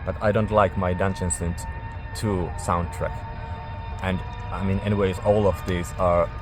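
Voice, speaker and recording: Low-voiced man, halting speech, music behind. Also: level tone. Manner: halting, searching for words. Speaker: music reviewer. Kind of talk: casual album review.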